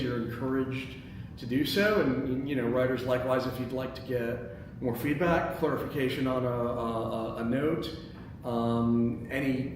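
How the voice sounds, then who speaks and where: A man with a medium-pitched voice hosts and narrates a script table read. He is picked up from a distance in a very echoey indoor space with noticeable noise. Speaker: host and stage-direction reader. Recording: very echoey room, distant mic, background noise.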